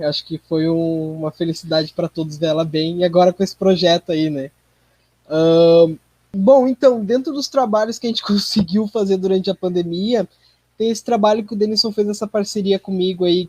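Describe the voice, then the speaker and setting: Brief: Brazilian man, high-pitched voice, dry close sound, informal conversational guest with frequent tag questions. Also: darkish tone, no echo. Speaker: theatre group member, interview guest. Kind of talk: conversational interview answer.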